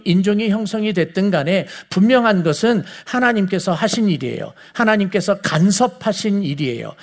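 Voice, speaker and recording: A man with a Seoul accent giving a conversational Bible teaching, explaining things and putting questions to his listeners. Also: high-pitched. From South Korea. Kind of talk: conversational sermon lecture to a congregation.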